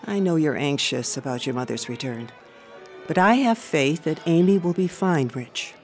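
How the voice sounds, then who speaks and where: A woman acting in a radio drama as a concerned, compassionate society aunt, earnest and expressive in her delivery. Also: low-pitched, moderate pace, soft background music. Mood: earnest, soothing.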